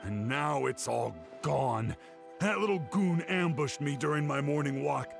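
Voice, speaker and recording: A man's voice: a little high, animated, a little slow, gravelly, over music, exaggerated voice. An actor playing an aggrieved middle-aged scholar in a video game, complaining about his stolen work. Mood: lamenting. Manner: complaining, wounded pride.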